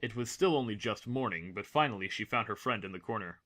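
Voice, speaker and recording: A man's voice: on the low side, lively intonation, fast talker, very noisy. A man reading scripted, dramatic story narration as the narrator of an audio drama.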